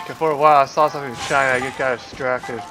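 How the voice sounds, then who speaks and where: Rambling man streaming over loud game music. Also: mid-range voice, steady tone, low-quality recording. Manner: rambling, halting, slangy. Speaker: livestreamer playing a game. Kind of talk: casual reacting commentary. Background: game music and dialogue.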